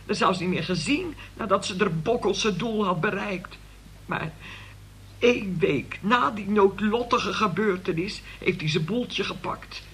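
A woman's voice: mid-range voice, a little slow, slight background noise, close to an everyday voice. An actress playing a middle-aged, forgiving mother who tells long, solemn, pious stories to her son in a radio play. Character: forgiving, long-suffering mother recounting family disaster to son.

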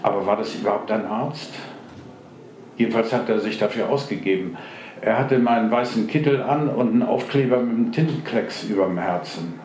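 An actor playing a grumpy, contrary old care-home resident in a dour, deadpan radio play style. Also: male voice, lowish voice, close to an everyday voice. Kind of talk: German radio play acting. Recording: echoey room with faint background noise.

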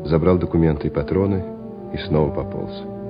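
A man's voice: very deep voice, a little fast. A man narrating a war radio play in a scripted, dramatic storytelling style. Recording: dull radio recording with music behind.

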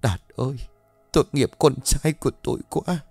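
A man's voice: medium pitch, moderate pace, darkish tone, dry recording, faint music behind, voice pushed up, close to an everyday voice. A man voicing an anxious, distraught older mother in a horror story, pleading and wailing. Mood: tearful.

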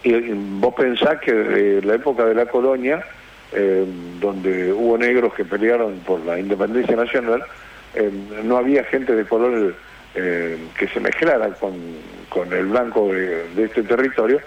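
Gravelly Argentine man on the radio. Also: fairly low pitch. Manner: halting, searching for words. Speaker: radio host. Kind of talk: casual radio conversation. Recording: dull radio sound with hiss.

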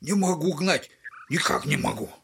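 An actor playing a flustered, deferential household servant in a Russian audio drama, apologetic and hesitant. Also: male voice, a little high, lively intonation, normal speed, gravelly, noisy background.

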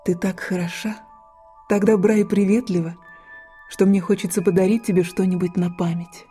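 A woman voicing a calm, stern female fairy in a fairy-tale reading, speaking in slow, measured pronouncements. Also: medium pitch, faint music behind, natural voice. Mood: gentle. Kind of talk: storybook reading.

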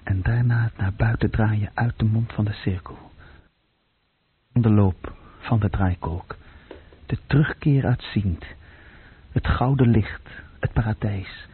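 An actor playing a tense, obsessive man in a radio drama, speaking in incantatory, urgent fragments with a husky voice. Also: medium pitch, measured pace. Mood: incantatory, entranced. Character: tense, obsessive man drawn to Russian roulette. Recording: muffled, tinny old radio-play recording.

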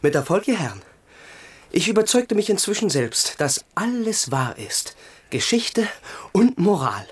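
A bitter, ironic young prince. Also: male voice, high voice, very animated, measured pace, husky, heavily acted. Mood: sarcastic. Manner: sarcastic, brooding. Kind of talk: 1970s radio play acting.

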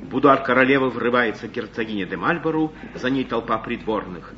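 A man's voice: medium pitch, fairly quick, over a noisy crowd. A man narrating the action of a stage play in a scripted, descriptive style. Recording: noisy muffled old broadcast.

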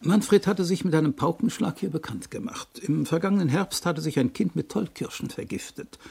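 An actor playing a genteel older small-town pharmacist in a 1960s radio play, formal and deferential, with a gravelly, husky voice. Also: male voice, on the high side, normal speed, a little mellow. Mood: approving.

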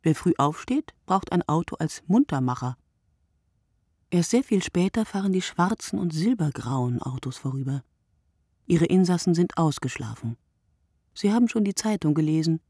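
An actress playing a weary, observant woman in a radio play monologue, speaking in measured, short phrases with a husky, breathy voice that often drops to near whisper. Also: on the low side, animated, warm tone, no echo, clean studio sound. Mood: reflective. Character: weary, observant woman watching early-morning street from her window. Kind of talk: literary radio play monologue, scripted narration.

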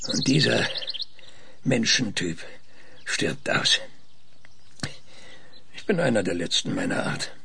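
An actor playing a sardonic, embittered scientist in a radio play, with a husky, raspy voice and a hesitant, halting delivery. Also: male voice, lowish voice, expressive, sharp tone, a little hiss or hum, heavily acted. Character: sardonic, embittered male scientist, last of his kind.

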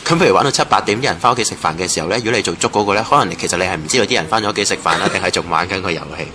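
A man's voice: deep voice, racing, sharp tone. A man with a Hong Kong Cantonese accent, casually sharing recollections as an interviewee. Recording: noisy ambient location recording.

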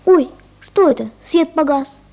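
A woman's voice: sits high, expressive, a little slow, darkish tone. An actress playing a small, shy but stubborn little girl in a 1970s radio play, answering briefly and simply. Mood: startled. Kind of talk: 1970s Soviet radio drama acting.

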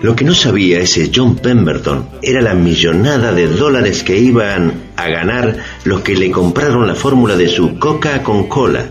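Man playing radio drama narrator, knowing, expressive intonation, medium pitch, music underneath. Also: normal speed, hardly any pauses, highs cut off, lightly acted. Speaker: announcer and narrator-commentator.

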